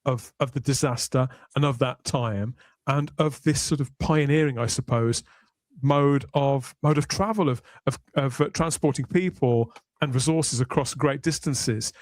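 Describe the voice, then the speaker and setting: A man hosting a podcast panel discussion, talking in a measured, thoughtful way. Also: medium pitch, darkish tone, no room sound. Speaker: podcast host. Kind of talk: panel discussion of a sci-fi series.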